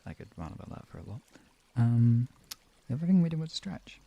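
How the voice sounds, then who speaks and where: A man's voice: mid-range voice, big pitch swings, brisk, gravelly, muffled recording. A man hosting a live stream, talking informally and off the cuff to viewers, often rambling and trailing off mid-thought. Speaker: live stream host and relaxation guide. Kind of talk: casual, improvised live-stream chat with viewers.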